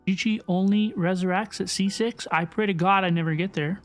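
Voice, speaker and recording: A woman's voice: mid-range voice, steady tone, fairly quick. A woman livestreaming a game, chatting casually and effusively as she answers her viewers. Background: game music.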